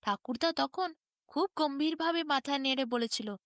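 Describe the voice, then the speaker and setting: A woman's voice: high-pitched, moderate pace, fairly bright tone, no room sound, studio quality. A woman, narrating a bedtime story expressively as a storyteller.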